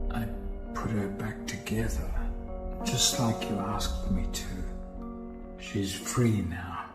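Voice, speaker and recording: A man playing a haunted, solemn undead son in a horror game monologue, speaking deliberately with grim devotion. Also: fairly low pitch. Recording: roomy echo under loud music.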